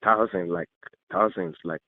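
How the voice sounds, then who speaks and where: A man's voice: low voice, fairly even intonation, a little slow, no room sound. A man talking earnestly on the telephone in a rambling, repetitive way with nonstandard grammar, over a tinny narrow line. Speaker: call recipient, student. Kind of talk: earnest phone conversation.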